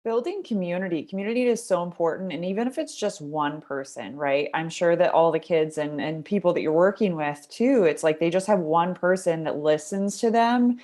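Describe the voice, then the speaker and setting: A woman hosts an interview podcast in a fairly low voice. She asks her questions casually and at length, scattering fillers as she goes. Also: animated, normal speed, hardly any pauses, a little mellow. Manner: rambling questions with fillers like "right?" and "you know". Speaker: podcast host.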